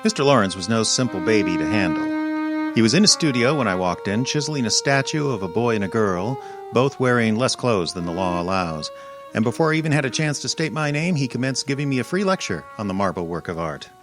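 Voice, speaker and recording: A man playing a wisecracking, streetwise detective's legman in old-time radio style, with a slangy, deadpan delivery. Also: lowish voice, a little fast, over music. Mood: wry. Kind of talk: old-time radio detective acting, first-person narration.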